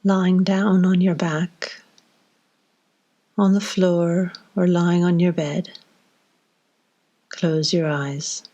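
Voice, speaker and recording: Low-voiced woman guiding a slow meditation. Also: level tone, dull audio. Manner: slow, gentle instruction with long pauses. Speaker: meditation guide. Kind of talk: guided body scan meditation.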